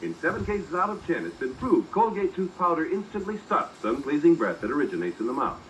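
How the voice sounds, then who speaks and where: A man's voice: on the high side, big pitch swings, brisk, a little hiss or hum, rough recording. A man working as a 1940s radio announcer, delivering emphatic, polished sales copy.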